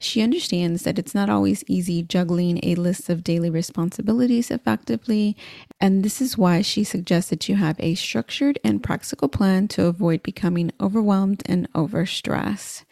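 A woman's voice: mid-range voice, normal speed, creaky, dark tone, no room sound, studio quality. A woman hosting a podcast, introducing her guest and asking friendly, upbeat questions. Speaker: podcast host. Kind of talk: casual podcast interviewing.

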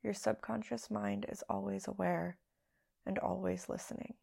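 A woman leading a guided meditation as a podcast host, speaking in a hushed, soothing, unhurried way with long pauses. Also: mid-range voice, fairly even intonation, creaky, husky.

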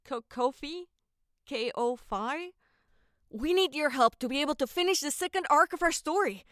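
A woman's voice: high-pitched, lively intonation, normal speed, fairly bright tone, dry recording. A woman making a chatty, informal announcement as a podcast creator, close to the mic.

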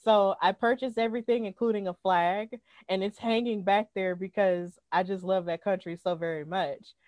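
A woman's voice: medium pitch, steady tone, normal speed, darkish tone, no echo. A woman in her twenties from Alabama, a podcast guest chatting casually, upbeat and laughing often as she tells stories. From Alabama, United States.

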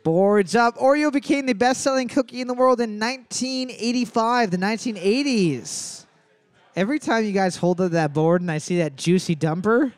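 A man's voice: high voice, dry recording. A man hosting a live trivia night, reading questions and spelling out answer choices letter by letter, joking between rounds. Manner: animated, spells out answers slowly. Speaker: trivia host.

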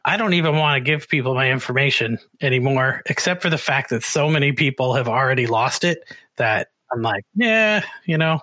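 A man's voice: medium pitch, no room sound. A man with a Canadian accent hosting a podcast in a chatty, rambling, conversational way. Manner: chatty, rambling, animated storytelling. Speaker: podcast host. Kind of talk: casual conversational interview.

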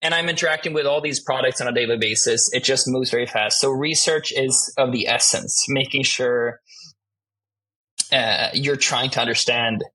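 A man's voice: mid-range voice, normal speed, pushed and bright, slightly rough, low-quality recording. A man in his twenties whose first language is Swedish, a guest in an interview, talking casually and often restarting as he searches for words. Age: 20s. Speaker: guest, art director.

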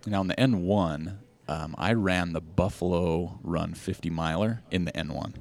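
A man's voice: deep voice, steady tone, moderate pace, vocal fry, darkish tone. A man co-hosting a podcast, joking and rambling casually about trail shoes and jackets. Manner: jokey, rambling, many ums and restarts. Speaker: podcast co-host. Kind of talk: casual chat about running gear.

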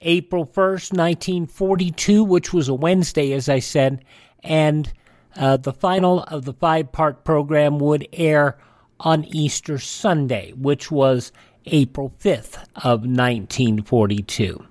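A man's voice: a little high, a little slow, a little mellow, no echo, clean studio sound. A man hosting a radio show, mixing scripted links with informal asides.

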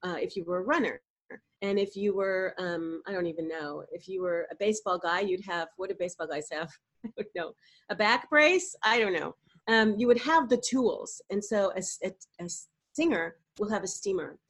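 Animated woman voice coach explaining. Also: mid-range voice, normal speed. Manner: animated, explanatory, demonstrates vocal exercises. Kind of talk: podcast interview answer.

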